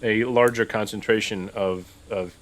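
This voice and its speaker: A man with a somewhat low voice takes part in a tabletop game as a player. He talks casually and tentatively, thinking his choices through out loud. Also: fairly even intonation, unhurried.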